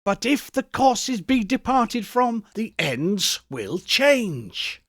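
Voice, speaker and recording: A male reader puts on a terrified old miser whose voice climbs high and swings widely as he pleads. He drags each word out slowly and earnestly. The sound is dry and close, with no room around it. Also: big pitch swings, a bit bright, no echo, full character voice. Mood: fearful begging. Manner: earnest, beseeching delivery. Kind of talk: audiobook character voice.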